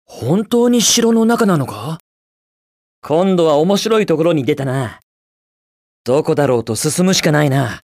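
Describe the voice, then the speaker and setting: Cocky carefree young hedgehog hero for a video game, highish voice, super lively, slangy and confident. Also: male voice, measured pace, pauses often, dry recording, studio quality. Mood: wary, calm. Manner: breezy, confident, casual slangy speech.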